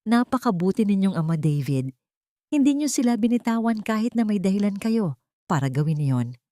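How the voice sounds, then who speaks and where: A woman playing a gentle, respectful nanny in an audio drama. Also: medium pitch, very animated, moderate pace, dry recording, clean studio sound, pitched above the performer's own voice, lightly acted. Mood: admiring. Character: Rosa, gentle, respectful young nanny, grateful and hesitant. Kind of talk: Tagalog audio drama dialogue.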